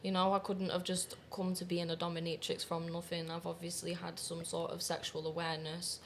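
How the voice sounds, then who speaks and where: A woman's voice: on the low side, completely flat delivery, brisk, creaky, a little hiss or hum. A confident woman, speaking candidly and laughing easily as an interview guest. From Manchester, England. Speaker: guest, professional dominatrix. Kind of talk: casual interview chat.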